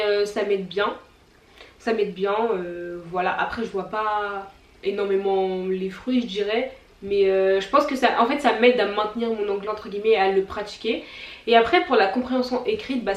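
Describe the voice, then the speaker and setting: A woman's voice: medium pitch, far from the mic. Chatty young woman in a roomy room. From France. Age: young adult. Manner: chatty, giggly, many fillers and slang. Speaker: YouTuber. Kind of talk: casual vlog chat. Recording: roomy echo, a little away from the mic.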